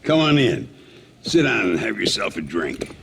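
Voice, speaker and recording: An actor playing a weathered old gunslinger, a wry veteran killer with a gravelly Western American drawl, in a video game. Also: male voice, lowish voice, very animated, unhurried, noisy background, exaggerated voice. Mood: wry, resigned. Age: older. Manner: folksy, sardonic, chuckling. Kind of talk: video game cutscene acting.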